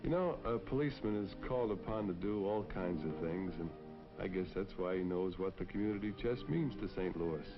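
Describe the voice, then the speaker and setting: Low-voiced folksy American policeman, old film. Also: animated, fairly quick. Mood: earnest, proud. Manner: folksy, earnest, gently persuasive. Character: kindly, earnest neighborhood policeman, a man. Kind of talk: 1940s propaganda film acting and narration. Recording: dull vintage film soundtrack, music behind. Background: music.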